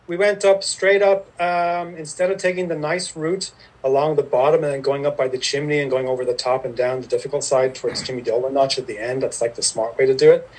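A man's voice: mid-range voice, level tone, brisk, darkish tone, poor audio. A man, telling stories as a podcast guest in a rambling, self-deprecating way. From Ireland. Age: 50s. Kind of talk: casual storytelling interview.

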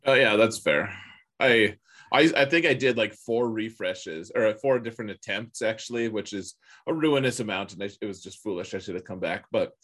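A man co-hosting a gaming podcast, chatting casually and colloquially with a husky voice and strong opinions. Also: fairly low pitch, moderate pace, fairly bright tone. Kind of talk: casual chat about a mobile game.

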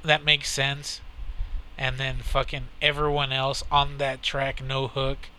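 A man's voice: medium pitch, monotone, takes it slowly, pushed and bright, a little hiss or hum. A man in casual, profane podcast banter, joking and mocking. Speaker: podcast co-host. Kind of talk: casual chat.

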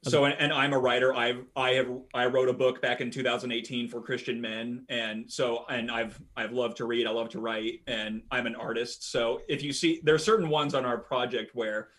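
Talkative young podcast guest, earnest and casual, mid-range voice. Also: male voice, mid-range voice, fairly even intonation, moderate pace, hardly any pauses, fairly bright tone. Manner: earnest and talkative, with many fillers. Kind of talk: casual interview talk.

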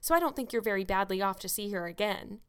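A woman voicing a stern, sharp-tongued older spinster who scolds a child. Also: mid-range voice, quick pace, smooth, natural voice. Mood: curt. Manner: curt, sarcastic, scolding.

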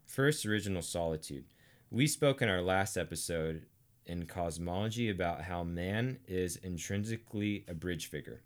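A deep-voiced American man explains theology in a conversational teaching style. His delivery is deliberate and emphatic, with weighty pauses between phrases. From Arkansas, United States. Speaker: teacher and co-host of a theology series.

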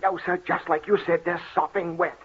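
An actor playing a deferential, nervous and grumbling manservant in 1930s radio style. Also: male voice, sits high, little pitch movement, a little fast, breathy edge. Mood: obedient, uneasy. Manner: obedient, anxious. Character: deferential, nervous, grumbling male servant named John. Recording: tinny, noisy 1930s radio recording.

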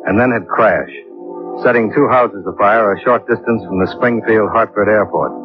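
A man acting a brisk, businesslike, world-weary insurance investigator in a 1950s radio drama, clipped and matter-of-fact. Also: on the low side, lightly acted. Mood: sober, matter-of-fact. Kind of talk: 1950s radio drama acting, first-person case narration. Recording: muffled old radio recording, loud music.

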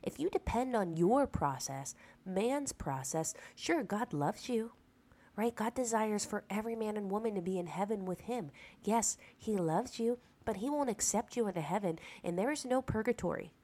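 A woman's voice: mid-range voice, animated, moderate pace, dark tone. A woman hosting a preaching-style podcast, earnest and emphatic, a little sick, checking in with the listener through rhetorical asides. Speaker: podcast host.

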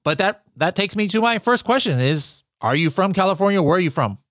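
A man's voice: sits high, expressive, a little fast, a bit bright, dry recording, clean studio sound. A man hosting a podcast interview, upbeat and jokey, with frequent fillers. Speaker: podcast host. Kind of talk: casual interview chat.

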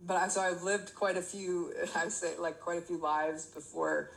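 A woman's voice: lowish voice, steady tone, normal speed, gravelly, rough recording. A woman in her forties from Michigan, talking as a podcast guest in a chatty, self-deprecating way and laughing easily. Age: 40s. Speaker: guest athlete. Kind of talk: casual podcast interview.

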